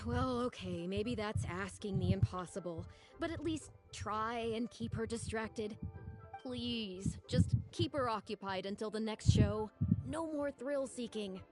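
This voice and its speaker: A woman's voice: a little high, unhurried, lightly acted. A woman voice acting a flustered, pleading opera troupe woman in a video game, theatrical and exclamatory. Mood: resigned pleading. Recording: game audio over background music.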